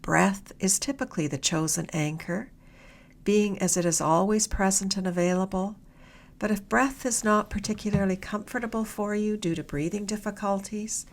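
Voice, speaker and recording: A woman leading a guided meditation in a gentle, soothing, unhurried way, her soft voice turning slightly breathy at the ends of phrases. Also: medium pitch. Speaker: meditation guide, mindfulness mentor.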